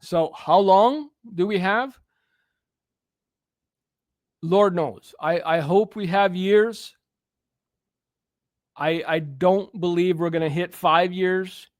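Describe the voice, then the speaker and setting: Guy with a high voice preaching earnestly on a radio show, stumbles and restarts a lot, dry close mic. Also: slow pace, pauses often, dry recording. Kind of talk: preaching commentary.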